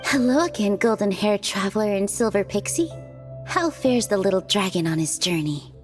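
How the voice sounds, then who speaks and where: A woman's voice: medium pitch, expressive, moderate pace, close to an everyday voice. A woman voicing a polite, concerned storybook creature in a video game, with slightly formal speech. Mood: courteous. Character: courteous, concerned storybook creature, friend of a little dragon. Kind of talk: animated video game acting. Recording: music behind the voice.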